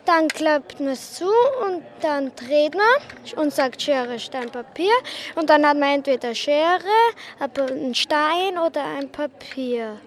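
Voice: high voice, slow. A child interviewee giving a casual step-by-step explanation, repeating words while searching for the next.

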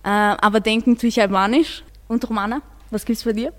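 A woman's voice: a little high, fairly even intonation, a little fast, a bit bright, in a large hall. A teenage girl, speaking plainly and steadily. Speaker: student.

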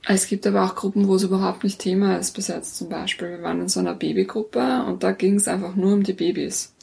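A woman's voice: medium pitch, steady tone, fast, vocal fry, darkish tone. A woman with an Austrian accent, an interview guest explaining things casually and often rephrasing herself. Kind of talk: casual reflective interview talk.

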